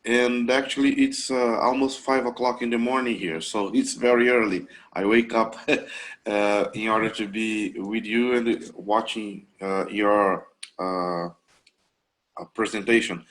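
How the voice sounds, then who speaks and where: A Brazilian man, a native Portuguese speaker, asking a question on a video call, halting with many fillers and restarts. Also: on the low side, steady tone, fairly bright tone. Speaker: webinar attendee asking a question.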